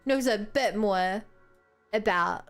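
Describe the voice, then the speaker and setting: A woman's voice: medium pitch, takes it slowly, soft background music. A woman from New Zealand on a gaming livestream, chatting to viewers and reading game text aloud. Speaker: game streamer.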